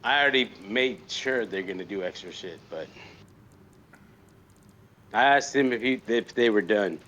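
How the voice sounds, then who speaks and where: A man's voice: lowish voice, lively intonation, moderate pace, bright, forceful tone, a little hiss or hum. A man in his late thirties chatting casually with a friend on stream, laid-back and profane. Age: late 30s. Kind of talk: casual chat.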